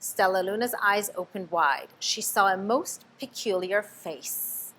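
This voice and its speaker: A woman reading a storybook aloud to children in an expressive, teacherly way, pausing to ask them questions. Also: medium pitch, a little hiss or hum, low-quality recording. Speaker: storybook reader.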